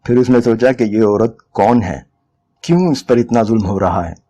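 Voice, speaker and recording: A man's voice: lowish voice, normal speed, dark tone. A man reading a short story aloud as a dramatic, scripted narration.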